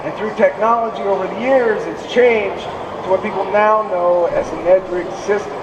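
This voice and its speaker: A hoarse man gives a rambling, explanatory seminar talk, his voice pitched high for a man. He sounds distant from the microphone in a roomy, echoing hall, with a steady air-conditioning hum behind him. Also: moderate pace, lo-fi sound. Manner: explanatory, digressive, with restarts. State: hoarse from speaking. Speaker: fishing seminar presenter. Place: expo seminar hall, indoors.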